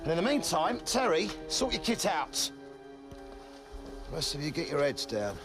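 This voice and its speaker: A man's voice: a little high, big pitch swings, normal speed, over music. An actor playing a foul-mouthed army sergeant who gives curt, commanding orders to his squad. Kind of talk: film dialogue.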